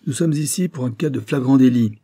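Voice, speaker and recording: A man's voice: mid-range voice, brisk, dark tone, no room sound, voice pushed up, close to an everyday voice. A man voicing a curt, self-important police secretary in an audiobook story, dry and commanding. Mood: brusque, accusing.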